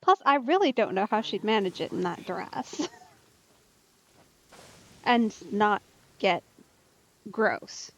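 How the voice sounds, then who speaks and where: A woman's voice: medium pitch, animated, slow, pauses often, fairly bright tone, poor audio. A woman doing casual, chatty let's-play commentary as a streamer, musing aloud informally.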